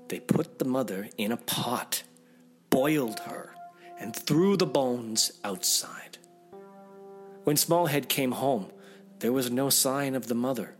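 Male storyteller, dramatic fairy tale narration, widely swinging pitch, slow pace, soft music underneath. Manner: theatrical, expressive.

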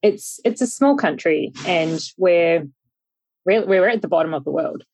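A woman's voice: on the low side, animated, a little slow, darkish tone, husky. A young woman with a New Zealand accent, a podcast guest talking casually and thoughtfully with plenty of fillers and restarts. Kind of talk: casual interview conversation.

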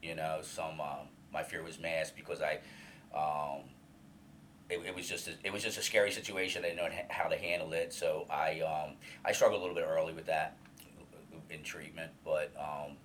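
A man's voice: a bass voice, fairly even intonation, normal speed, slight background noise. A man in his fifties, a guest in an interview, speaking openly and earnestly about his past with many restarts and fillers. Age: 50s. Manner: loud voice. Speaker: alumni coordinator, guest.

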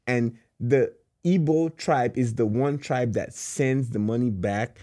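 A man speaks slowly in a mid-pitched voice, recorded close and dry. He explains things in a casual but emphatic way, repeating words to drive them home. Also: warm tone, no room sound. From Nigeria. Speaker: guest in a discussion.

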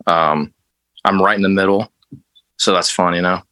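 A low-voiced man from West Texas, talking as a guest in a casual interview. He tells his stories loosely and easily, full of "like" and "you know", with a bit of casual swearing. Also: fairly even intonation, moderate pace, sharp tone. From West Texas, USA. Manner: laid-back, loose storytelling with fillers and mild swearing. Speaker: interview guest, band musician.

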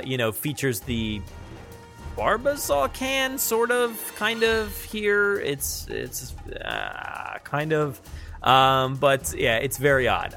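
A man hosts a podcast in a casual, unscripted style. He talks haltingly, thinking aloud as he goes, at a middle pitch with faint music in the recording. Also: vocal fry, pushed and bright. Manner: halting, thinking aloud while describing what he sees. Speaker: podcast host. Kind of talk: casual unscripted news chat.

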